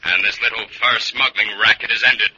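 Stern radio vigilante, raspy and very sharp, bossy clipped delivery, muffled old recording. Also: male voice, on the low side, steady tone, normal speed, heavily acted. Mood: menacing. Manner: commanding, clipped interrogation. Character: stern, menacing masked avenger of justice. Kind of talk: 1940s radio acting.

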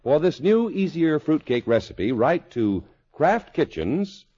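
Casting: male vintage radio pitchman, husky mid-range voice, hugely animated, polished and emphatic ad read. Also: takes it slowly. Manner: polished, emphatic sales delivery. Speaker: radio announcer. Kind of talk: 1950s radio advertisement, scripted. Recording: muffled old radio recording.

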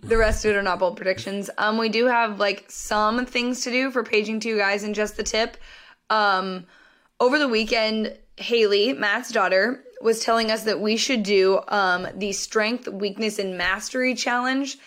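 A woman with a raspy voice co-hosting a podcast, chatting casually and tossing in quick asides. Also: mid-range voice, steady tone, a bit bright. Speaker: podcast co-host. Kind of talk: casual sports chat.

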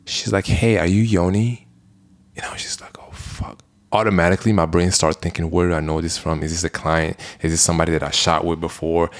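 Man, young adult, very deep voice, casual podcast chat, lively storyteller. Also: brisk. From Belgium. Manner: animated storyteller, acts out other people's lines. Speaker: podcast co-host.

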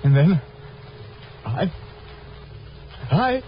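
An actor playing a tormented amnesiac man in a 1950s radio drama, speaking in a halting, dazed way. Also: a little high, sing-song, slight background noise, full character voice. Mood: entranced, halting. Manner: halting, dazed, trance-like delivery. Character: tormented amnesiac mentalist reliving a lost love, man. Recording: muffled old radio broadcast, music behind.

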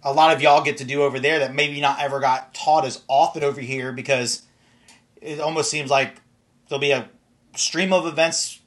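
A man's voice: a little high, steady tone, normal speed, fairly bright tone. A man hosting a casual interview, asking loose, rambling questions. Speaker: podcast host.

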